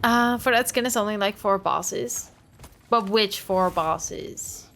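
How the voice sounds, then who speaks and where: A woman's voice: mid-range voice, takes it slowly, vocal fry, fairly bright tone, slight background noise. Playful woman streamer. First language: Dutch. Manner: chatty, playful, greets chat. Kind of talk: live gameplay commentary.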